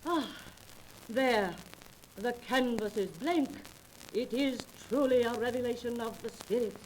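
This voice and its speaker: An actress in a 1930s radio drama playing a theatrical, grandiose fake spirit medium with a declamatory manner. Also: female voice, on the high side, a little slow, lightly acted. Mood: solemn, theatrical. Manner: declamatory, solemnly showy. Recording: noisy 1930s radio transcription disc.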